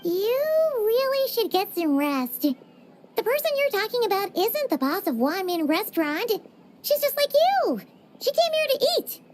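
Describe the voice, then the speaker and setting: A woman's voice: big pitch swings, unhurried, slight background noise, full character voice. A woman voicing a tiny, chirpy female fairy companion who is blunt and teasing in a game cutscene. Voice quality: squeaky, childlike.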